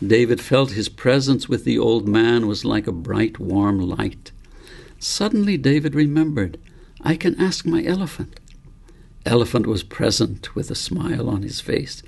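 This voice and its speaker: A man's voice: on the low side, moderate pace, creaky, darkish tone. A man narrating his own novel as a storybook reading.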